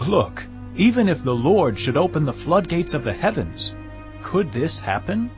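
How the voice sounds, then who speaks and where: An actor playing a grim royal officer in a dramatised audio Bible, speaking earnestly and emphatically. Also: male voice, a little high, sing-song, moderate pace. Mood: scornful doubt. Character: cautious, grim royal officer advising his king. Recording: dull, muffled audio over music.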